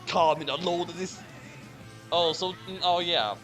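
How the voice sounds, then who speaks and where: A man's voice: fairly high pitch, a little slow, a bit bright. A man doing a casual comic read-along with friends, with a theatrical, exaggerated delivery. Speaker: host reading a fan story aloud with friends. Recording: music behind the voice. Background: background music.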